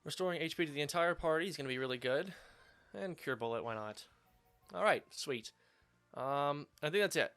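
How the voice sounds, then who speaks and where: A slightly hoarse man hosting a video game playthrough, chatting casually with lots of fillers while standing at his home setup. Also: on the high side, animated, a little fast, a bit bright, faint music behind. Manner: rambling, filler-heavy, upbeat. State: slightly hoarse after surgery. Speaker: let's play host. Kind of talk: casual gameplay commentary.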